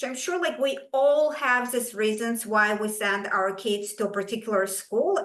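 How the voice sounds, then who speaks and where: A middle-aged woman from Ukraine with a Russian accent, a native Russian speaker, giving an animated, emphatic presentation to parents. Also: a little high. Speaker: curriculum presenter. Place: school event room. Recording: echoey room.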